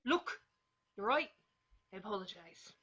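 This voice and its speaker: A man's voice: sits high, lively intonation, a little slow, pushed and bright, slightly rough, muffled recording, full character voice. Man playing a pompous speeding solicitor. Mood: indignant. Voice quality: pompous, indignant. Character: pompous male solicitor, amateur actor, caught speeding.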